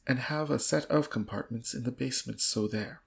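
Man acting a plaintive, husky middle-aged gentleman suitor. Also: mid-range voice, moderate pace. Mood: wounded, placating. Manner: melodramatic, plaintive. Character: lovelorn, flabbergasted, gloomy social-climbing Victorian gentleman, middle-aged.

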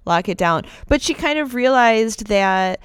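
A woman's voice: a little high, expressive, measured pace, clean tone, dry recording, clean studio sound. Nasal chatty woman with a Buffalo accent. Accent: Buffalo, New York. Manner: chatty, laughs often, sarcastic asides. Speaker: podcast co-host. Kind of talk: casual chat.

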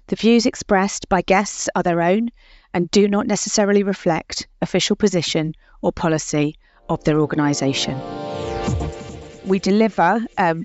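A woman's voice: lowish voice, a little mellow, no room sound, muffled recording. A woman hosting a podcast interview, talking in a chatty, enthusiastic way with many fillers. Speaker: podcast host.

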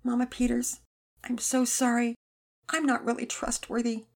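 A woman voicing a remorseful young girl who stammers and speaks haltingly in a children's storybook reading. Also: a little high, monotone, a little mellow, pitched above the performer's own voice. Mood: halting, tearful.

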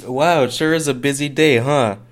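A man's voice: mid-range voice, moderate pace. A man doing an amateur script read-through with friends, giggly and often breaking character.

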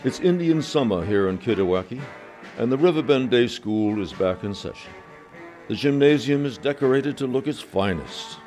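A man's voice: mid-range voice, animated, normal speed. A man narrating a radio play, setting the scene in a storytelling style. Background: music.

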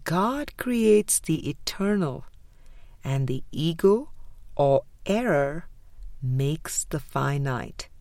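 A woman narrating a spiritual audiobook in a measured, deliberate way with frequent pauses. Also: sits low, expressive, a little mellow, dry recording, clean studio sound. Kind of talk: scripted spiritual book reading.

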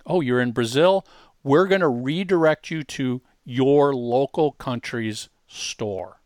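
A man's voice: mid-range voice, slow, darkish tone, no echo, studio quality. Male tutorial presenter, conversational and emphatic, walking through steps casually in a medium register. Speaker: presenter demonstrating a web tool.

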